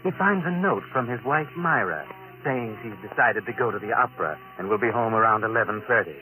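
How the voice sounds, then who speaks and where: A man's voice: lowish voice, expressive, sharp tone, low-quality recording. A man playing a sinister, knowing narrator of a 1940s radio mystery, dramatic and ominous, on a vintage recording. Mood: suspenseful. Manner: dramatic, ominous, deliberate phrasing. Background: music.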